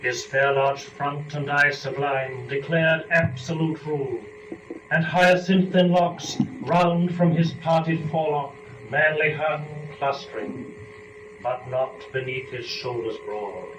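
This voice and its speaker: A man recites old epic poetry very slowly and formally, setting out each phrase with care. The recording is roomy and somewhat muffled, with clear background noise. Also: a little high, fairly even intonation. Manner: measured, formal, deliberate phrasing. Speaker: performer reading poetry. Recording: echoey, dull, noisy live room recording.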